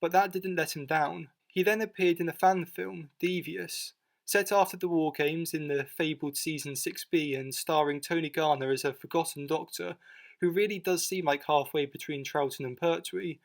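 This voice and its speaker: A man's voice: on the high side, fairly even intonation, normal speed, creaky. A man narrating a scripted documentary video in an informal, matter-of-fact way. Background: music bed.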